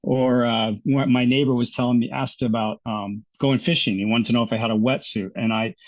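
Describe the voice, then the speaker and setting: An American man chatting as an interview guest, with a mid-pitched voice and a slightly dull, lo-fi sound. He is easygoing, laughs often and restarts his sentences as he thinks. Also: steady tone, brisk. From United States. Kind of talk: casual interview chat.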